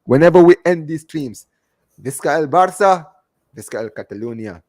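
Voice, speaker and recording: A man's voice: a little high, animated, moderate pace, a little mellow, no echo. A man hosting a live football fan show in casual, slangy chat, often repeating himself and stumbling as he reads out comments. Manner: slangy, repeatedly addressing listeners as man, bro and guys; stumbling, repetitive delivery. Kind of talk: casual livestream chat, reading out viewer comments.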